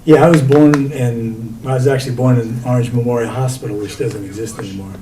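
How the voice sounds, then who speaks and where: An older man, a poet guest speaking in a relaxed, reminiscing way. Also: mid-range voice, fairly quick, distant mic, crowd noise, low-quality recording. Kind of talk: interview answers.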